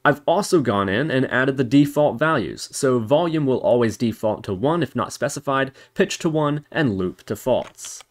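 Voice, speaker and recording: A man presents a screencast tutorial in a voice of middling pitch. His intonation moves up and down in a lively way as he explains each step in turn. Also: normal speed, hardly any pauses. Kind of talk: instructional screencast narration.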